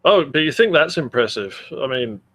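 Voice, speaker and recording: A man's voice: mid-range voice, lively intonation, moderate pace, a bit bright. An Australian man co-hosting a podcast in casual chat, blunt and joking, swearing freely. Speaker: podcast co-host.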